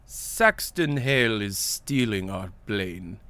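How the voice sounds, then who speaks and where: A man voicing a suave, unruffled male spy in an understated, deliberate way. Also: lowish voice, animated, bright, forceful tone, smooth, no echo, exaggerated voice. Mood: dry, unruffled.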